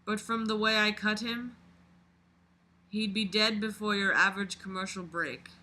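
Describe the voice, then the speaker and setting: An expressive, exclamatory reader doing a dramatic read-aloud of a novel, breaking off into mocking commentary and swearing. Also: medium pitch, moderate pace.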